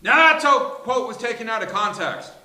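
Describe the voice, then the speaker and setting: A man's voice: very high-pitched, lively intonation, moderate pace, sharp tone, pitched above the performer's own voice, heavily acted. A man voicing a gushing, evasive Texas businessman at a live sitcom table read, effusive and emphatic, in a roomy space. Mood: defensive. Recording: roomy live venue, hiss.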